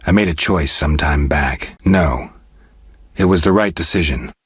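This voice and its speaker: A man playing a stoic, world-weary middle-aged monster hunter in a video game, speaking in a gravelly, husky voice with dry, laconic restraint. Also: extremely low pitch, a little slow, no echo, heavily acted. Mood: flat, resolute. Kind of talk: scripted video game character dialogue.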